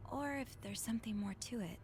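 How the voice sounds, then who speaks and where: An actress playing an inquisitive young hotel maid in a video game, thoughtful and gently playful. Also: female voice, on the high side, a little fast, lightly acted. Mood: curious. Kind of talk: game voice acting.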